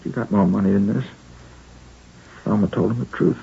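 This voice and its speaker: Deep, gravelly, pained wounded schoolteacher. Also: male voice, normal speed. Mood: bitter, grieving. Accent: American. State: pained, weary. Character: wounded schoolteacher in his thirties, shaken and bitter. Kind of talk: 1950s radio drama acting. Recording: muffled vintage radio recording, background noise.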